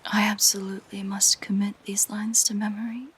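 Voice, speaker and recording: A woman voicing a possessive, curious siren in an intimate audio roleplay, speaking in soft, gentle, musing whispers. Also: mid-range voice, little pitch movement. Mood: musing delight. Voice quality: soft, breathy, mostly whispered. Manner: slow, gentle, musing delivery. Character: possessive, curious female siren, sweetly entranced by humans.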